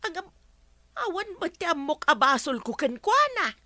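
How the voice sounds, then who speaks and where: A woman's voice: very high-pitched, big pitch swings, moderate pace, bright, forceful tone, dry recording, heavily acted. A woman playing a touchy, whiny older neighbour with an Ilocano accent in a broadcast comedy drama. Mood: complaining. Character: touchy older village neighbour woman, complaining of being disliked.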